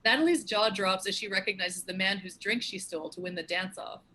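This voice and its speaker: A woman reads stage directions as the narrator of a script. Her voice is bright and forceful at a middling pitch, in a scripted narration style. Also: fairly quick, low-quality recording.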